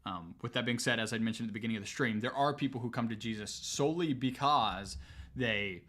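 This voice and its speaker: A man's voice: medium pitch, fairly quick. Casual male streamer answering questions. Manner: relaxed, conversational, explains at length with many "um"s. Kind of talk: casual livestream Q&A.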